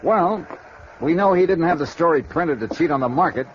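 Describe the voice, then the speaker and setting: An actor playing a wry, tough insurance investigator who narrates and questions people in a 1950s radio noir drama. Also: male voice, a little high, lively intonation, moderate pace, a little hiss or hum, close to an everyday voice. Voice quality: dry, wry, easygoing. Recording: dull old radio broadcast.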